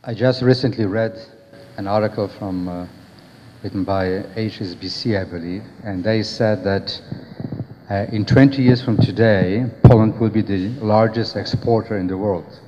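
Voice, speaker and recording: An older man speaks as a panelist in a reverberant hall, feeling his way deliberately through unscripted remarks. His voice sits fairly low, and the sound is slightly dull with faint noise. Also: steady tone. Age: older, about seventies. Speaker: panelist, business executive. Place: panel table in brick-walled indoor hall. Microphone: handheld microphone.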